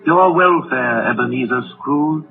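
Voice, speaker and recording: An actor playing a solemn, ageless ghostly spirit with a stately, commanding manner in 1930s radio style. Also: male voice, mid-range voice, unhurried, natural voice. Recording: muffled vintage 1930s radio with music.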